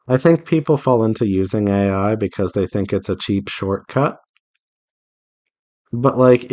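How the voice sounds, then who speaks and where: A man talking casually and earnestly in a rambling, advice-giving way, like an artist chatting while working. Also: on the low side. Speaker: visual novel developer and artist hosting a drawing devlog.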